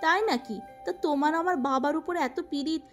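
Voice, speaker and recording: Lady doing a snooty bossy girl in a cartoon, high pitched, scornful, faint music. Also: moderate pace, close to an everyday voice. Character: haughty, greedy, lazy young eldest sister, village girl.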